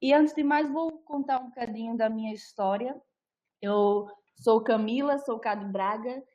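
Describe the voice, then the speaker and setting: A young Brazilian woman speaks with a Brazilian Portuguese accent, presenting on nutrition in a friendly, explanatory tone. Her voice sits a little high, and she leans on fillers as she goes. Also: moderate pace, darkish tone, highs cut off. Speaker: nutritionist giving a talk. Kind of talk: online presentation.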